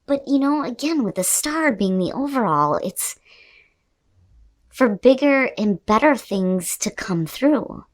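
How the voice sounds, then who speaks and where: A woman's voice: a little high, expressive, slow pace. A woman host giving an unscripted tarot reading to viewers, talking in a conversational, emphatic way with the odd mild swear word.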